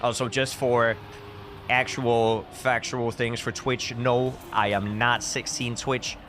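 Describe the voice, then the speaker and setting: A man's voice: medium pitch, little pitch movement, slow pace, a bit bright, a little hiss or hum. A man, chatting casually and commentating as a live esports caster, with dry, tongue-in-cheek humour. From Denmark. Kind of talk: casual live stream chat with game commentary. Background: music.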